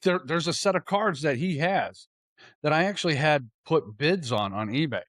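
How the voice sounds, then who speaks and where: A man chatting casually as a host, excitable and slangy, with a bantering way of talking. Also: a little high, moderate pace, no echo, clean studio sound. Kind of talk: casual chat.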